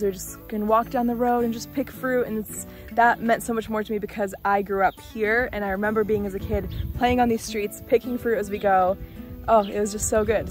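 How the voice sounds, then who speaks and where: A young woman from Bulgaria vlogging casually and with enthusiasm, talking into a phone outdoors on a village road. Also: mid-range voice. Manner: chatty, enthusiastic, laughs often. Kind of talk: casual travel vlog. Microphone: phone held by hand, then camera.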